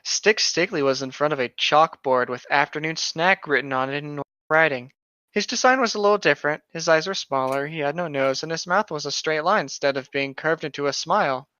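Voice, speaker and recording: A man's voice: on the high side, brisk, bright, forceful tone, no echo. A young man in his twenties co-hosting a casual horror-story reading, chatting and reading aloud on a lo-fi microphone. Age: 20s. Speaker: co-host reading internet horror stories.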